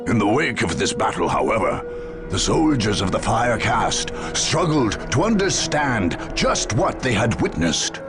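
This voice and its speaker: Male narrator, war-game cutscenes: grave, solemn chronicler, lively intonation, creaky edge, music underneath. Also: fairly low pitch. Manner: solemn, measured storytelling. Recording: music behind the voice.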